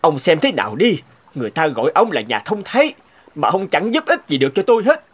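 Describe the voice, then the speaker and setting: Harried, excitable cartoon peasant husband. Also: male voice, a little high, fast talker, breathy edge. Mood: angry complaint. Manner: exclaiming, grumbling. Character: harried, hot-tempered peasant husband, gullible and complaining. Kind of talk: animated folktale cartoon dubbing. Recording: background music under dialogue.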